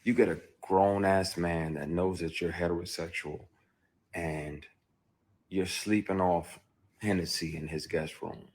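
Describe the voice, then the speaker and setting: A man with a very deep, husky voice tells his own story candidly. He is blunt and emphatic and swears freely as he talks. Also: fairly even intonation, measured pace, darkish tone. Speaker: actor.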